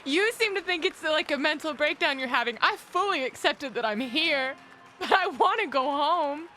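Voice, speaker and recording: Game role: upset castaway in a wizard world woman performing, piercing high voice, protesting, faint music behind. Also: lively intonation, normal speed. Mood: upset, pleading. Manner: loud, protesting. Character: upset, homesick person pulled into a wizard's world.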